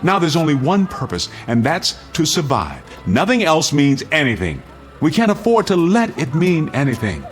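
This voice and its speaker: A man's voice: fairly high pitch, huge pitch swings, normal speed, soft background music. An actor playing a stern, desperate man bent on survival in a radio drama, insistent and vehement. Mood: grimly resolute.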